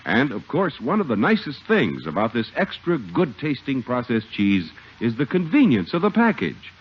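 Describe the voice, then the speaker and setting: A man's voice: mid-range voice, huge pitch swings, moderate pace, husky. A man announcing in a 1950s radio style, with a polished, emphatic sales delivery. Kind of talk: 1950s radio advert and scripted narration. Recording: old, dull 1950 radio broadcast with music.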